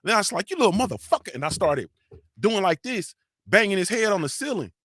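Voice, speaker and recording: A man's voice: high voice, very animated, fast, a bit bright, slightly rough, dry recording, clean studio sound. A man hosting a morning show, chatting loosely and cussing often, with slangy storytelling and constant "you feel me" tags. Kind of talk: casual live-stream chat reading comments.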